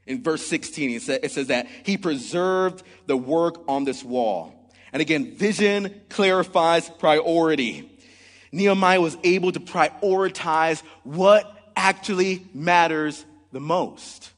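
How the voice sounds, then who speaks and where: A man's voice: fairly high pitch, slow, big-room sound. A man in his forties preaching a sermon in earnest, often opening with "man" or "look". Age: 40s. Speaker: pastor.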